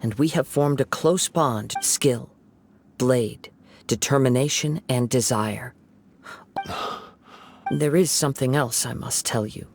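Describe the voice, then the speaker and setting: A gentle young wandering swordsman from an anime game. He speaks in a measured, poetic, soft-spoken way, with music behind him. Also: medium pitch. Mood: reflective.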